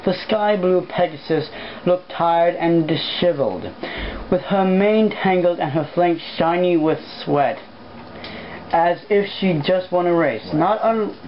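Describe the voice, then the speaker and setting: A woman's voice: fairly low pitch, measured pace, highs cut off, a little hiss or hum. A woman casually reading a story aloud as an amateur, informal and hesitating mid-sentence. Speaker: amateur fan fiction reader.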